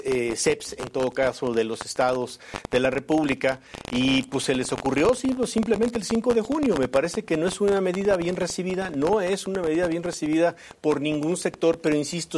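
A man's voice: on the high side, darkish tone, a little hiss or hum. A man with a Mexican accent giving conversational news opinion, emphatic and repetitive, often seeking agreement with short tag questions. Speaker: news commentator.

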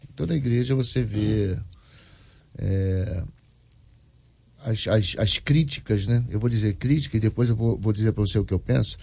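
A man, a pastor, chatting casually as a guest on a talk show with a relaxed, chuckling manner. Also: on the low side, moderate pace, gravelly, husky, no echo.

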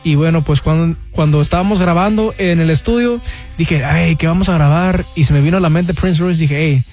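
Young male singer from Houston, high voice, hesitant speech full of fillers, faint music behind. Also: a little fast, darkish tone. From Houston, Texas, with Mexican roots. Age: young adult. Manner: hesitant, many fillers like "este, de" and "¿cómo se dice?". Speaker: singer guest. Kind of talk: casual interview.

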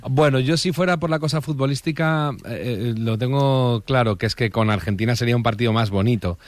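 Male radio regular, mid-pitched voice, casual discussion, dry close sound. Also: fairly quick, dry recording.

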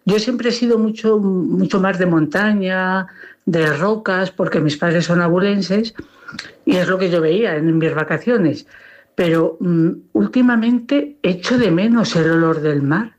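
A woman's voice: on the low side, measured pace, a little mellow. A woman leaving a hesitant, casual voice message for a radio show. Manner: hesitant, with fillers. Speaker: listener sending a voice message.

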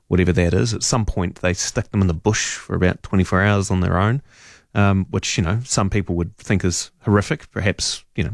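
A man's voice: on the low side, dry recording, studio quality. A middle-aged man hosting a radio talk show, chatting and rambling with fillers and restarts. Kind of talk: casual radio chat. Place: radio studio.

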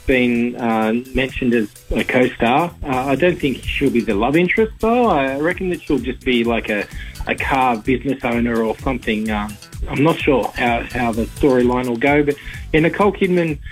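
Slightly husky Australian film reviewer, man. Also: mid-range voice, normal speed, faint music behind, poor audio. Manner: chatty, rambling with fillers. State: sore throat, slightly hoarse. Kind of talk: casual radio chat.

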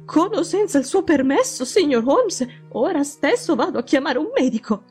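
A frantic older housekeeper pours out her worry in a high, strained voice, as a woman narrator puts her on. She pleads urgently and sounds close to breathless. Faint music runs underneath. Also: expressive, moderate pace, darkish tone. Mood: anxious pleading.

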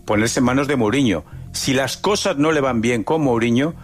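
A man's voice: medium pitch, normal speed, soft background music. A man giving emphatic football analysis on a talk show, repeating phrases for rhetorical effect. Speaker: sports pundit on a panel.

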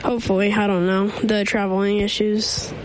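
A woman's voice: mid-range voice, level tone, moderate pace, slight background noise, rough recording. A teenage girl answering interview questions on the radio, hesitant with many ums. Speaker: student guest.

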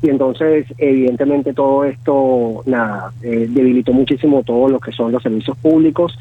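A man in his thirties with a Venezuelan accent, a guest on the phone, talking haltingly with many fillers and restarts. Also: medium pitch, husky, no room sound. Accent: Venezuelan Spanish. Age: 30s. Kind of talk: phone interview. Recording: tinny phone line.

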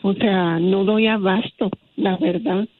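A woman's voice: medium pitch, fairly even intonation, slow, dry recording, phone-quality sound. A woman chatting casually as a radio show guest, blunt and choosy in how she talks. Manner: picky, blunt. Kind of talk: casual chat.

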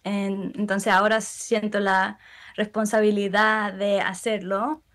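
Young woman, gentle interview guest, unhurried and reflective. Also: mid-range voice, flat delivery. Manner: soft-spoken, thoughtful, with frequent pauses and fillers. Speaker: musician guest. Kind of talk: relaxed interview answers.

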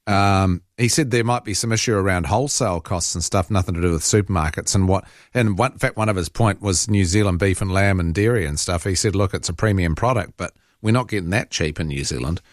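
A man's voice: lowish voice, dry recording, clean studio sound. A man hosting a talk radio show, conversational and opinionated. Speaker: radio host.

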